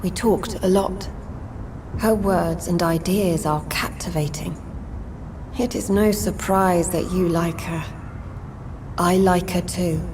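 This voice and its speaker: An actress playing an ancient, wise vampire woman of the sewers in a video game, measured, archaic and cryptic in speech. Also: fairly low pitch, fairly even intonation, background noise. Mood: calm, reflective. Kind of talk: video game drama acting.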